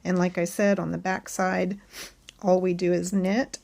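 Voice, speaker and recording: A woman hosting a casual knitting tutorial, chatting along as she knits and a bit hoarse from losing her voice. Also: fairly low pitch, flat delivery, unhurried, darkish tone. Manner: chatty and friendly, talks while knitting.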